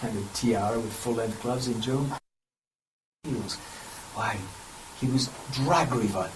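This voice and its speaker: A man's voice: medium pitch, slow, recorded from a distance, slight background noise, poor audio. A male streamer joking and commenting theatrically during a live game stream, often mimicking voices.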